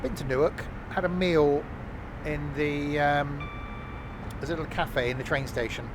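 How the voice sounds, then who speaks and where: A man live-streaming a train simulator, chatting loosely with his viewers and filling pauses with lots of ums and uhs. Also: on the high side, noisy background. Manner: relaxed, rambling, many fillers, chats with viewers. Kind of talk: casual live-stream chat.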